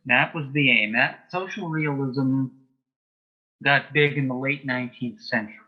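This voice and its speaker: A man answering as an interview guest in a matter-of-fact, measured way, telling small anecdotes in conversation. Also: mid-range voice. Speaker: interview guest, author and playwright. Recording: dull, band-limited sound.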